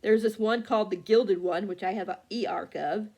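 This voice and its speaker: A woman book vlogger chatting casually and haltingly, often losing her thread and correcting herself. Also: mid-range voice, fairly even intonation, a little mellow. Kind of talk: casual rambling chat about books.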